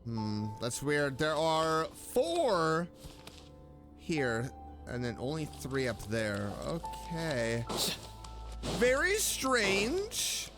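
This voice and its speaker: A man doing casual live gameplay commentary, expressive, reacting aloud and talking to the characters. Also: on the high side, extremely slow, music in the background. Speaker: game streamer.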